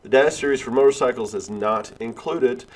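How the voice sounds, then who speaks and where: A male instructor with a cold and sniffles, talking step by step through a software tutorial with frequent "uh" and "all right.". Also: mid-range voice, brisk. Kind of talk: screen-recorded software tutorial.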